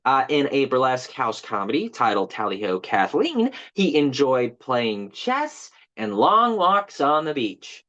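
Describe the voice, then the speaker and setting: A bitter male park guide performed by an actor holds forth in a theatrical monologue. His pitch leaps about expressively as he chats with passive-aggressive, mock-informative zeal. The audio is slightly muffled. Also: a bit bright. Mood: bitter, catty. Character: bitter, jilted, just-fired male park tour guide. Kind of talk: dramatic monologue reading as a tour speech.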